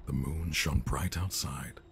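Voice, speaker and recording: A man's voice: rumbling low, slow, slight background noise. A man reading a rhyming bedtime story for a horror game in a theatrical, ominous manner. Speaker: storybook narrator.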